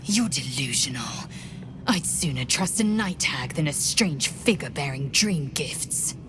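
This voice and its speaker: A woman's voice: sits low, animated, unhurried, background noise. A woman voicing a stern, scornful alien warrior in a video game, curt and imperious. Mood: grave, scornful. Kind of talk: video game character dialogue.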